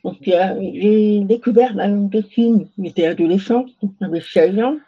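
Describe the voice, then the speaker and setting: A woman's voice: medium pitch, normal speed, breathy edge, lo-fi sound. A young woman answering interview questions casually, hesitant, with many fillers and restarts. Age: young adult. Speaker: interview guest.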